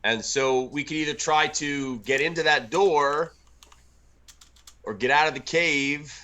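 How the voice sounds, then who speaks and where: Male tabletop player, casual chat, crisp bright tone, a little high. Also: unhurried.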